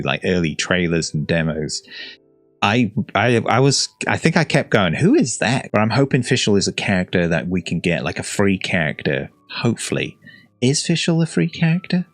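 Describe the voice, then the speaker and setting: Low-voiced man musing through a reaction. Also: dry recording. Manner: rambling, hesitant, musing aloud. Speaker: YouTube reaction host. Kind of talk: casual reaction commentary.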